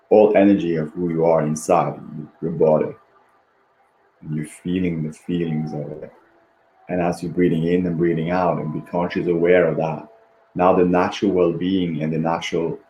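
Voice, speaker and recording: A man leading a guided meditation in a gentle, repetitive, unhurried manner, with long pauses and a background. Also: low-pitched. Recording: roomy echo, faint background noise.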